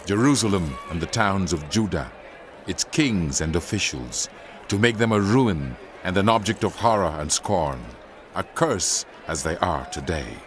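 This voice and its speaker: A man playing a solemn prophet who relays divine judgment, declaiming in a grave, measured way in a dramatized scripture narration. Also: low voice, expressive, a little hiss or hum. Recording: faint music behind the voice.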